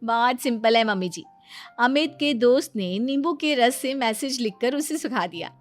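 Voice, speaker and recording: A woman's voice: a little high, animated, normal speed, faint music behind, lightly acted. A woman voicing a boastful, breezy young daughter-in-law who knows everything, in an acted comedy family story. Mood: smug, confident. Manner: boastful, breezy, self-assured. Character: cocky know-it-all young daughter-in-law, proudly showing off.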